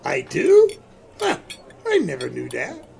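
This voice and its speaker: A man playing a cheerful folksy cafe owner who tells his story in a radio play, with a creaky voice. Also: a little high, wildly swooping pitch, slow pace, a little mellow, soft background music, full character voice. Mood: flustered, glad.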